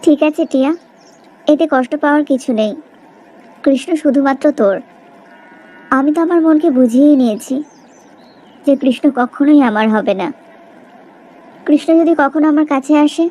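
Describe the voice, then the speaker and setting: A woman's voice: high-pitched, normal speed, pauses often, warm tone, lightly acted, rough recording. A woman playing an earnest, self-sacrificing rich young woman in a cartoon melodrama, speaking plaintively. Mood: resigned.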